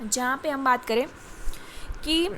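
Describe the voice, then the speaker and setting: Woman lecturer, high voice, steady classroom explaining, frequent fillers. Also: slight background noise. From India. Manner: explains in Hindi, reads definitions slowly in English, frequent fillers and ठीक है. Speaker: commerce lecturer.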